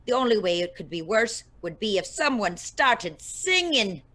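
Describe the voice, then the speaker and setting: A woman's voice: medium pitch, sing-song, moderate pace, a bit bright. A woman playing a grumpy Irish singing star with a blunt, scathing, sarcastic manner. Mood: scornful, bored.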